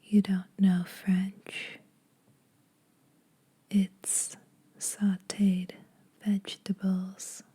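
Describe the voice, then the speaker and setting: A woman playing a teasing, secretly kind vampire in an intimate, breathy whispered ASMR roleplay. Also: mid-range voice, monotone, dark tone, full character voice. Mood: teasing, coaxing. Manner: slow, intimate, dryly teasing. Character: teasing, lonely female vampire, ageless, secretly kind to mortals.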